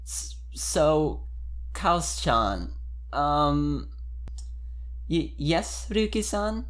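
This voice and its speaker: A man's voice: a little high, sing-song, very slow, a bit bright, pitched above the performer's own voice, heavily acted. A man voicing a flustered, lovestruck anime girl who stammers nervously in a fan fiction reading. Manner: stammering, hesitant.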